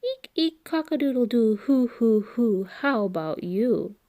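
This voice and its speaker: Female children's storyteller: slow delivery, warm relaxed timbre, playful and expressive with noise words. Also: a little high. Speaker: children's book reader. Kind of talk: read-aloud storytelling for young children.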